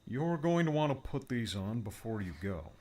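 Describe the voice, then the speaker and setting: A man voicing a friendly adult mentor who gives quick instructions. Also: mid-range voice, very animated, warm tone, voice pushed up, lightly acted. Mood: instructive. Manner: brisk, instructive.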